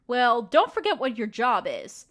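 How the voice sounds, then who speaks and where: A woman's voice: a little high, very animated, unhurried, a bit bright. A woman voicing a ruthless, scheming evil queen in an audio roleplay, speaking in a condescending, sardonic, commanding way. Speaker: voice actor.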